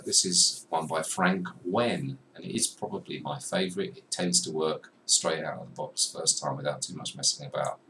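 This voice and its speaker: Chatty deep-voiced guy tutoring. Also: pushed and bright. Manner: chatty, self-deprecating, talks to viewer while clicking. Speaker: music software tutor. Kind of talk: screen-recorded software tutorial. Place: small room at a desk. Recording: slightly distant mic with faint room noise.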